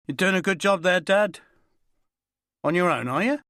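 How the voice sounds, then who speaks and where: A man's voice: mid-range voice, animated, moderate pace, fairly bright tone, no echo, clean studio sound, natural voice. A man voicing a cocky journalist with a raised, brash delivery. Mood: breezy.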